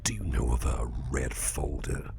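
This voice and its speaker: A man playing a suspicious, menacingly calm crime boss in a whispered ASMR roleplay, slow and deliberate with a coaxing edge of threat. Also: heavily acted. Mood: probing, suspicious. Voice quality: very deep, gravelly, breathy whisper.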